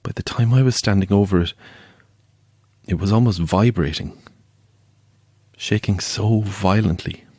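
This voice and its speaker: An actor playing a haunted believer in the paranormal, telling a chilling tale in a deliberate, halting way for an audio drama. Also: male voice, fairly low pitch, a little mellow, dry recording, studio quality. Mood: haunted, hushed. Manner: deliberate, halting, suspenseful storytelling.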